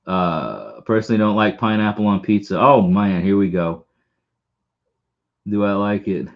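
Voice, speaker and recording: A man's voice: fairly low pitch, measured pace, highs cut off. A man with a Long Island accent, hosting a casual live stream and rambling, with lots of restarts and repeated words. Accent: Long Island New York. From Long Island, New York. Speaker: channel host.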